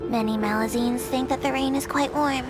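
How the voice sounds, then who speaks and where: Woman as high-voiced, earnest little creature tour guide. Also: fairly even intonation, close to an everyday voice. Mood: formal, earnest. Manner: polite, formal, measured announcements. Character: small earnest female creature working as boat tour guide. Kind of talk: video game character voice acting. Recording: loud music over the voice.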